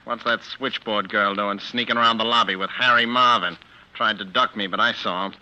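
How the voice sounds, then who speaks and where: An actor playing a suspicious, short-tempered law partner with an accusing, sneering manner in a 1940s radio drama. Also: male voice, on the low side, fairly even intonation, moderate pace, pushed and bright. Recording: dull 1940s radio recording.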